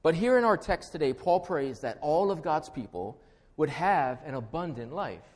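Hawaiian-accented pastor preaching. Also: male voice, on the high side, lively intonation. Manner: earnest, measured preaching with a slow, deliberate prayer. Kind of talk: sermon.

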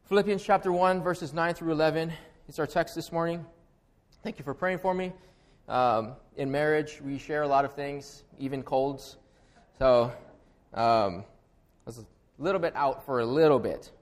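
A middle-aged man from Hawaii with a Hawaiian accent, preaching a sermon as pastor in an earnest, measured way. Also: on the high side. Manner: earnest, measured preaching with a slow, deliberate prayer.

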